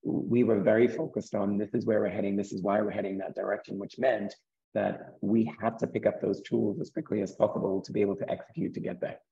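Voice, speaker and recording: A man with a croaky, gravelly voice speaks earnestly, low and mellow in tone. He often restarts a word or two before he finds his point. The sound is dull and lo-fi. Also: level tone, a little fast. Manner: earnest, repeats words as he restarts. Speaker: guest, property investor. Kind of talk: interview conversation.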